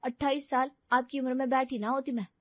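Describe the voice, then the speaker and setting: A woman acts a cynical working daughter in her twenties for an audio drama. Her voice is high and she complains dramatically. The sound is dry and a little muffled on top. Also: steady tone, brisk, no echo. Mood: exasperated. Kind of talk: Hindi audio drama acting.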